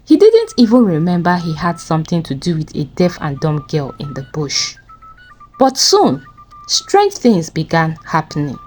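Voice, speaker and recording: A woman's voice: fairly low pitch, wildly swooping pitch, measured pace, darkish tone, faint music behind. A woman with a Nigerian accent narrating a scripted folktale as a storyteller.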